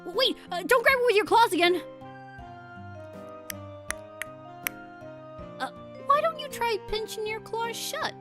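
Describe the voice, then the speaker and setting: A woman's voice: high voice, big pitch swings, normal speed, soft background music, pitched above the performer's own voice. A woman voicing an earnest, anxious penguin agent in a game story, caring, apologetic and encouraging. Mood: gentle, encouraging. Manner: stammering, encouraging.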